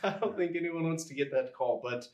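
A man answering interview questions as a podcast guest, explaining things conversationally and haltingly as he pieces thoughts together. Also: on the high side, a little mellow. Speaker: podcast guest, engineering executive. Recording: roomy, echoing sound.

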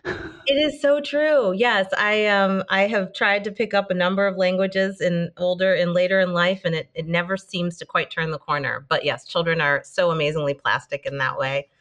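A woman guest on a marketing podcast, answering in a thoughtful, measured way. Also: fairly low pitch, fairly even intonation, a bit bright. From born in Denmark, raised partly in Israel and the United States. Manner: thoughtful, measured, some restarts. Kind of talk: conversational interview.

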